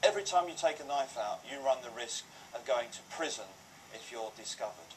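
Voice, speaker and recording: A man's voice: mid-range voice, animated, a little fast. A British man, a police officer, giving a scripted public safety appeal in a formal, earnest manner. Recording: distant, slightly noisy screen playback.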